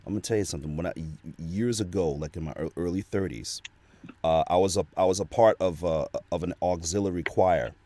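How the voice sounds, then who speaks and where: A man's voice: sits low, fairly quick, dark tone, dry recording. A middle-aged man chatting casually as a guest, telling anecdotes in a conversational way. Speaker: show guest.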